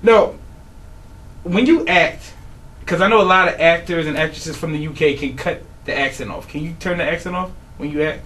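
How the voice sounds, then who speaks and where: A man's voice: on the high side, moderate pace, a bit bright, distant mic. A man hosting a radio interview in a studio, asking teasing questions in a loose, slangy, joking way. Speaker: radio host.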